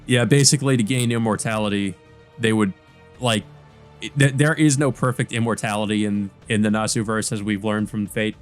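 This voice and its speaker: A man let's player giving casual, halting commentary on a visual novel in a rambling way. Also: fairly low pitch, fairly even intonation, soft background music.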